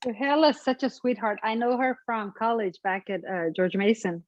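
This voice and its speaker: A woman's voice: fairly high pitch, moderate pace, a little hiss or hum. A young woman with an Afghan accent, chatting hesitantly and laughing as she walks through cooking a family dish. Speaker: guest cook. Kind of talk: casual cooking demonstration.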